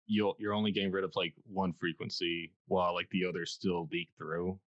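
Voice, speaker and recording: A man with a deep voice hosting a podcast in a casual, joking way, talking close to a dynamic studio mic. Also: flat delivery, moderate pace, a bit bright, dry recording. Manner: laid-back, joking, filler-heavy. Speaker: podcast host. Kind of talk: casual interview chat. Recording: dull, dry podcast recording.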